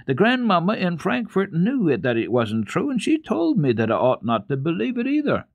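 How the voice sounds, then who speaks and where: A man's voice: sits high, wildly swooping pitch, moderate pace, vocal fry, dry recording, voice pushed up, heavily acted. A man voicing an eager, bossy and determined little girl in a children's storybook, emphatic and earnest. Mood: eager, insistent. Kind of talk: dramatic children's storybook reading.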